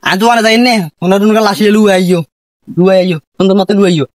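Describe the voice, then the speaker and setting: A man's voice: high voice, fast, lightly acted. A man with a regional Bangladeshi accent playing a pompous, crooked police detective boss who barks orders and declaims like a poet in a comedy sketch. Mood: scheming. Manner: bossy, mock-poetic declaiming. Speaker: comic actor in a skit. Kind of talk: amateur comedy sketch parodying a TV crime show.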